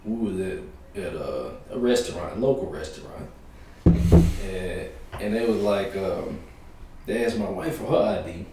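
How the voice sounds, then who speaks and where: A man, hosting a podcast in a relaxed, storytelling manner. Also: on the low side, a little slow. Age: 30s. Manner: relaxed, storytelling with quoted voices. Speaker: podcast host. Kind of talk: casual chat. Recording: roomy echo, distant mic, faint hiss.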